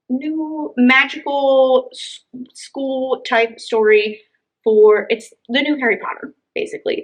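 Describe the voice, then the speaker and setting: A woman's voice: a little high, fairly bright tone, rough recording. A woman chatting casually and enthusiastically as she recommends books as a co-host. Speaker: library book show co-host. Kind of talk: casual book recommendation chat.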